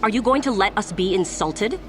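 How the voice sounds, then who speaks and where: A woman's voice: medium pitch, animated, fairly quick, close to an everyday voice. A woman playing a smug, menacing Sith gang leader in a video game, taunting and condescending. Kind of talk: video game villain acting.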